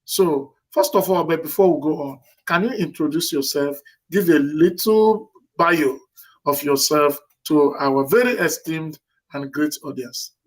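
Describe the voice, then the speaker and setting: Male host with a slightly higher voice, courteous, rambling questions. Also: measured pace, poor audio. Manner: rambling, polite, many fillers. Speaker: show host. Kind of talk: interview hosting.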